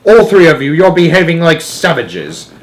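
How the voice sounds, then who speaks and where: A man's voice: a little high, sing-song, moderate pace, pitched above the performer's own voice, poor audio. A man playing a stern old grandfather ordering others to behave in a storybook reading. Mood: stern, scolding.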